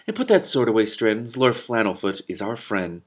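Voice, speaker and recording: Man voicing a low, quietly menacing thief master. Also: sing-song, moderate pace. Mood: level, controlled. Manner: level, pleasant, quietly threatening.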